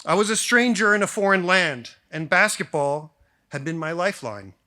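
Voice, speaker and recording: A man in his forties with an American accent, delivering a prepared ceremonial speech in measured phrases with deliberate pauses. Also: sits high, lively intonation, pushed and bright. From grew up in Japan, then suburban Detroit, US. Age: 40s. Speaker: school faculty member giving a valedictory speech. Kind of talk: prepared formal speech to graduating class.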